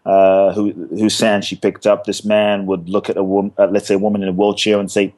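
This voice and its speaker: A man's voice: on the low side, little pitch movement, a little fast, darkish tone. A man raised in London telling his life story as a podcast guest, earnest and repeating phrases for emphasis. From born in Ghana, grew up in London. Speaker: guest, speaker and teacher. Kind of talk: personal story in a podcast interview.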